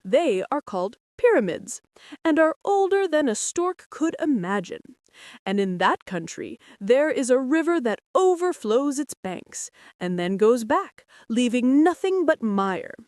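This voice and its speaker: A woman's voice: sits high, sing-song, measured pace, darkish tone, dry recording, clean studio sound. A woman voicing a patient, firm mother stork in a storybook, instructing and reassuring her young. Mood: patient, instructive.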